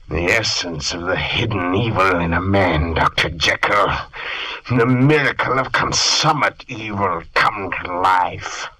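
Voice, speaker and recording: An actor playing an obsessed gentleman scientist with a dark secret, theatrical and intense, in an old radio horror drama. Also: low-pitched, slightly rough, exaggerated voice, poor audio. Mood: sinister, frenzied.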